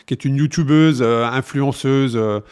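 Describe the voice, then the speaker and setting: A man's voice: medium pitch, normal speed, darkish tone, clean tone. A man with a French accent, speaking as a podcast host in a casual conversational interview with a guest.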